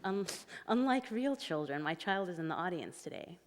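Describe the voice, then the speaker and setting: A woman's voice: on the low side, sing-song, moderate pace. A woman speaking candidly on an author panel, chatty and laughing often. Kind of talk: panel discussion. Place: stage of an event hall. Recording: reverberant hall.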